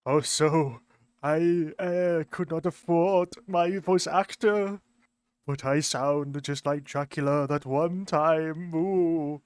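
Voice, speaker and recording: A grandiose, scheming communist leader, as a man puts him on for an amateur lore video. He declaims slowly in a put-on foreign accent that drifts as he goes. The voice sits a little high, smooth and clear, with no room sound. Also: steady tone, no echo. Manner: grandiose, slow declaiming speeches.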